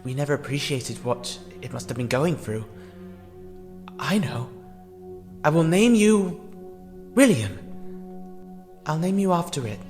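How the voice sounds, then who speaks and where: A man's voice: a little high, lively intonation. Guy acting caring hesitant fella in an audio drama, lighter voice, really up and down, reverby with music. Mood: hesitant, tender. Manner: earnest, halting, sympathetic. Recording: long reverb, music underneath.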